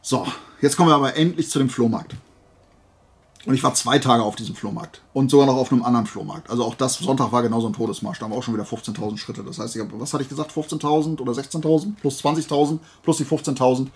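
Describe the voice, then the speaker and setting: A man's voice: medium pitch, fairly even intonation, fast. A man hosting a haul video, rambling casually to his viewers, often drifting off track and correcting himself. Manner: chatty, digressive, self-correcting. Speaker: flea market haul video host.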